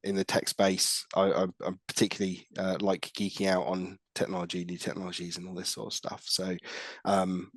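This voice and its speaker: A man's voice: deep voice, monotone, brisk, dry recording. A middle-aged man with a stuffy nose from a cold, giving a presentation on a video call, hesitant with many fillers and restarts. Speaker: guest speaker, tech lead.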